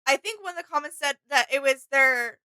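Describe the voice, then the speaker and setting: A woman's voice: high-pitched, animated, a little fast, sharp tone, dry recording, clean studio sound. A woman chatting casually as a reaction video co-host, tentative and self-doubting in how she puts things.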